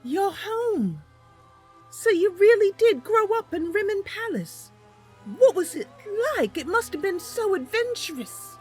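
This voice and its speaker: A woman's voice: very high-pitched, big pitch swings, measured pace, a little mellow, soft background music, full character voice. A woman voicing a perky, inquisitive young wood elf adventurer in a game roleplay, chatty and wide-eyed. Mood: amazed.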